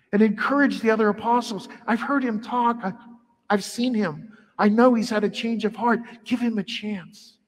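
A middle-aged priest preaches in a lively, conversational way, his voice sitting high for a man. The sound is caught from across a large church sanctuary, with a long reverb trailing each phrase. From Ireland heritage. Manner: animated, conversational preaching with wide arm gestures. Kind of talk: sermon.

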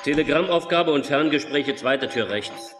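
An actor playing a cheeky, gruff male ship's radio operator, brash and slangy, in an audio drama. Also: on the high side, normal speed, over music, close to an everyday voice. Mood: brash, mocking. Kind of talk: German audio drama acting.

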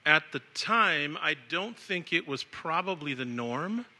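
Male speaker, 40s, from Samoa, raised in the US; sharp, tense tone, upbeat and crowd-leading. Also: on the high side, expressive, measured pace. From born in Samoa, raised in the United States. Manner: upbeat, casual, leads the crowd. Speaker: speaker at an education event. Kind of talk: live talk to an audience.

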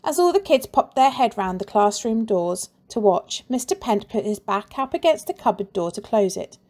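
A woman teacher reading a children's storybook aloud with lively expression and playful sound effects. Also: mid-range voice, moderate pace.

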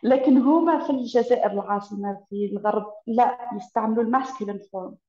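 Young Eastern Algerian woman, slightly high voice, lively guest on a video call. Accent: Eastern Algerian Arabic. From Tebessa, Eastern Algeria. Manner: quick, animated, laughs often. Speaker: interview guest. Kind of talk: casual chat explaining dialect. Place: room with bookshelves, indoors. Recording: roomy, dull video call audio.

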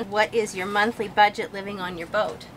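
A woman's voice: medium pitch, a bit bright, a little hiss or hum, poor audio. A North American woman chatting casually as co-host of a vlog, answering viewer questions. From born in the United States, grew up in Canada.

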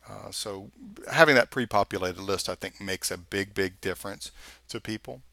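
Texan male instructor, screencast voice-over, bright and dry, casual and chatty while clicking around. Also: on the low side, normal speed, gravelly, no echo. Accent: Texas. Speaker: software instructor. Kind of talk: screencast tutorial walkthrough.

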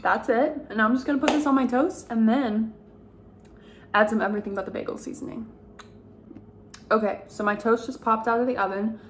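A woman's voice: a little high, fairly even intonation, brisk, pauses often, a little hiss or hum. Chatty young woman vlogging from her kitchen. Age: young adult. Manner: upbeat and chatty, gushing about food. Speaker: lifestyle vlogger. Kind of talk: casual chat to camera. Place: home kitchen, indoors.

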